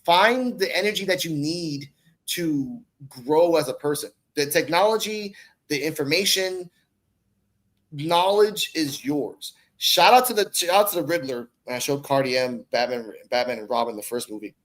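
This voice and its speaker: A man's voice: on the high side, expressive, moderate pace, low-quality recording. A man from the Bronx talking casually as a podcast guest, rambling and slangy, with swearing mixed in. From the Bronx, New York, United States. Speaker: guest, online content creator and podcaster. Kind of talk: casual conversational podcast talk.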